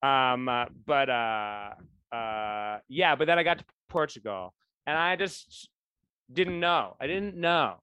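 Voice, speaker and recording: A man's voice: medium pitch, expressive, takes it slowly, sharp tone, clean tone, no echo, muffled recording. A man co-hosting a podcast in casual chat, rambling with lots of fillers and restarts. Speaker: podcast co-host.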